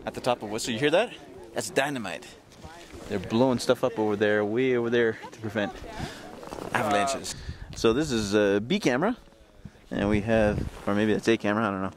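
A man's voice: fairly low pitch, fairly quick, crowd noise. A man vlogging his day as a photographer, chatting casually and joking at his own expense outdoors on a ski mountain, filmed with a handheld camera. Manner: joking, self-mocking, chatty. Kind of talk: casual behind-the-scenes vlog. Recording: loud outdoor noise behind voice.

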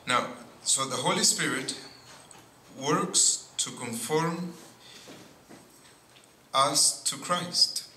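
A middle-aged priest preaches from a pulpit at a very slow, measured pace. His voice is sharp and bright. The boom mic catches the echo of the church and a faint hiss. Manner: slow, deliberate preaching with pauses and frequent "see". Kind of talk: sermon. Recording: echoey church with faint noise.

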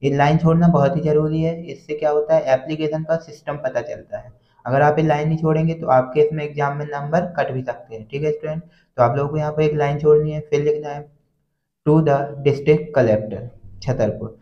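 An Indian man giving an instructional lesson to students as a coaching teacher, reading phrases slowly and then explaining them. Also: fairly high pitch, fairly even intonation. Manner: repeats a check-in phrase to students. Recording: dull, roomy echoing sound.

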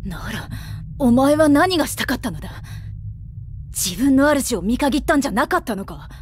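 A woman's voice: high-pitched, lively intonation, normal speed, slight background noise. A woman playing a stern, upright young female warrior in a Japanese video game, speaking seriously and bluntly. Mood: stern, challenging.

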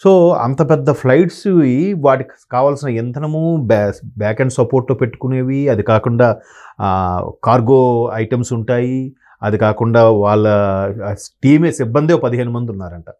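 A man speaking conversational commentary as an analyst guest, hesitant and often pausing to search for words. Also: medium pitch, darkish tone. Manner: hesitant, many fillers and word-searching pauses.

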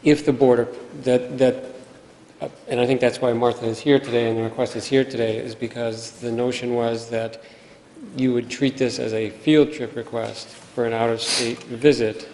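A man's voice: medium pitch, level tone, normal speed, a little mellow. A middle-aged man, a school administrator, answering questions hesitantly and carefully at a public meeting. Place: indoor meeting room, at podium. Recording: reverberant meeting hall, faint noise.

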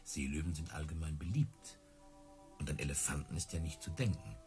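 An actor playing an earnest, easily hurt big-cat tamer in love, in a radio play. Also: male voice, rumbling low, brisk, a little mellow, faint music behind. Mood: earnest, defensive.

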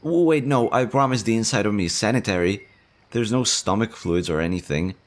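A man's voice: fairly low pitch, fairly quick, lightly acted. A man voicing a nervous, shy male slime monster in an audio roleplay, stammering and fretful as he tends to someone. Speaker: voice actor.

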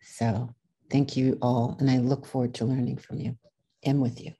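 Very low, mellow-voiced woman. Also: level tone, normal speed, husky. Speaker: scholar, guest of honor. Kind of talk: casual greeting.

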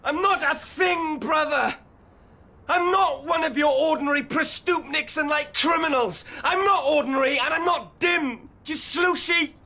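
An actor playing an insolent, volatile teenage street thug in a dystopian radio drama, speaking theatrical slang. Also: male voice, a little high, a little slow. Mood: furious. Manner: theatrical slang-heavy speech. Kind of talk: radio drama acting and narration.

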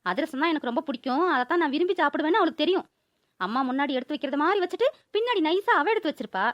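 A woman's voice: high-pitched, expressive, rapid-fire, no echo, lo-fi sound. A woman voicing a whiny, dramatic, sweet-greedy grown daughter in a cartoon. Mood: sulky, accusing. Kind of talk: Tamil cartoon voice-over acting.

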